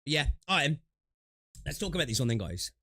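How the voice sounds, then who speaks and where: A man's voice: a little high, sing-song, a little fast, pushed and bright, no room sound. A man streaming games, chatting loosely with restarts, fillers and swearing, sniffling from allergies. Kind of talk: casual gaming stream chat.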